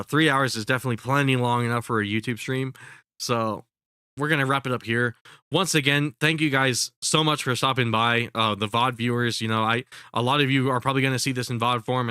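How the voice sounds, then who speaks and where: A man's voice: medium pitch, pushed and bright, smooth, no echo, studio quality. A man commentating casually on a live stream, sounding congested, rambling with lots of fillers and swearing. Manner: rambling, profane, full of fillers and restarts.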